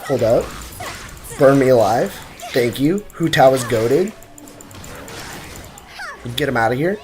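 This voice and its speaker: Young guy streaming a game, hyped and trash-talking, mid voice, soft game music behind. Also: mid-range voice, slow pace. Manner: excitable, joking, trash-talking. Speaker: gaming streamer. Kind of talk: live game commentary, chatting with viewers.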